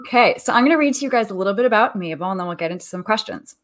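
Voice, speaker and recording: A woman's voice: medium pitch, animated, rapid-fire. A woman hosting a radio talk show, upbeat and friendly, reading a guest's introduction and opening the interview.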